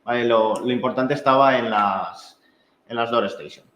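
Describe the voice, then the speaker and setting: A man with a Spain Spanish accent giving an informal technical training, explaining in a halting, conversational way with frequent check-in tags. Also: mid-range voice, fairly bright tone, slight background noise. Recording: echoey room, dull muffled sound.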